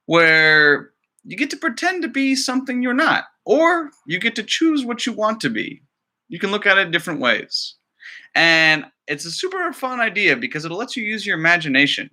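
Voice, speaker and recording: A man's voice: high-pitched, sing-song, normal speed, bright, forceful tone. A man, a school librarian, talking casually and encouragingly to young children as he introduces a story.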